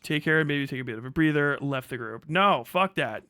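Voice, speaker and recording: A man's voice: fairly high pitch, brisk, bright, forceful tone, clear, resonant tone, dry recording. A man hosting a livestream, ranting vehemently with heavy swearing as he reacts to and reads out messages. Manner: profane, agitated, vehement. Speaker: livestream host. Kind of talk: livestream reaction rant, reading messages aloud.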